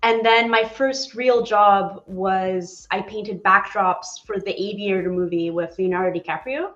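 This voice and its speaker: A woman in her forties from Poland talks in a lively, chatty way as an interview guest. Her voice sits at an ordinary pitch, and the sound is roomy and a little muffled. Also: a little slow. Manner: chatty and animated, with laughs and many fillers. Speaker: animator guest. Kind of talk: casual interview chat.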